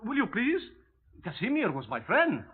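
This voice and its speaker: Excitable, high-voiced Eastern European schemer. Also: male voice, moderate pace, slightly rough, full character voice. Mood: pleading. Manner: excitable, pleading and insistent. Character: agitated, accusing Eastern European man, accomplice turned betrayer. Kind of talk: 1950s radio drama acting. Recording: noisy, tinny 1950s radio broadcast.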